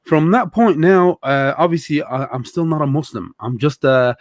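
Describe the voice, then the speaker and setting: A man's voice: on the high side, normal speed, no room sound, clean studio sound. A young adult man from Egypt, a guest telling his story in casual conversation, thoughtful and hesitant with many fillers.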